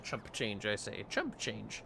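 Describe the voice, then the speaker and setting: A man's voice: fairly low pitch, huge pitch swings, slow, vocal fry, noisy background. A man doing casual, playful gaming commentary as a livestreamer, swearing freely. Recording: game music behind the voice. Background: game music.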